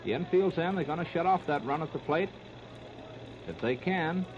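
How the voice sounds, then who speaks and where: High-pitched American 1930s radio baseball announcer, man. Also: lively intonation, moderate pace. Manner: clipped, terse running phrases. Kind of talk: 1930s live radio sports commentary. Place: ballpark broadcast. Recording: noisy, muffled 1930s radio disc recording.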